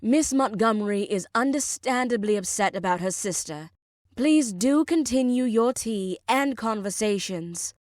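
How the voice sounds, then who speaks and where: A woman's voice: fairly high pitch, animated, a little slow, darkish tone, no room sound. Lady doing a posh-formal british gallery owner woman, composed, controlled, dry close audiobook sound. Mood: composed, apologetic. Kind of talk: audiobook character voice.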